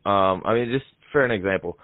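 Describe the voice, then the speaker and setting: A young man speaks in a low voice with a slightly dulled, dry sound. He rambles earnestly, as a prank caller improvising on the phone. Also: a little fast, dry recording, phone-quality sound. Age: young adult. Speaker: prank caller on a comedy show.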